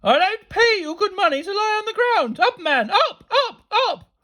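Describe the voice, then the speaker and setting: A woman voicing a stern storybook headmistress, shouting commands imperiously. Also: very high voice, sing-song, moderate pace, pitched above the performer's own voice, exaggerated voice. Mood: stern, irate.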